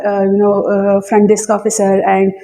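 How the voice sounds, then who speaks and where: A woman's voice: mid-range voice, monotone, moderate pace, mellow, slight background noise, poor audio. A woman hosting an interview, introducing services and posing questions with many fillers. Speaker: host.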